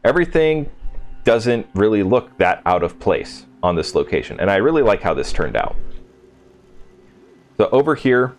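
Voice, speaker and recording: Low-voiced man giving a casual game walkthrough. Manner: informal, unscripted, thinking aloud. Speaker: video game settlement-build host. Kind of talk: casual gameplay walkthrough commentary.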